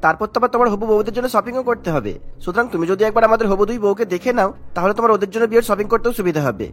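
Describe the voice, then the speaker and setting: A man's voice: high-pitched, very fast, a bit bright. A man voicing an eager, dutiful grown son in a cartoon story, coaxing and earnest.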